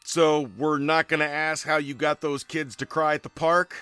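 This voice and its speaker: A man's voice: a little high, level tone, normal speed, pushed and bright, clear, resonant tone, soft background music. A man playing a wisecracking, skeptical ghost hunter with a sarcastic manner in an audio drama. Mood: sarcastic, teasing.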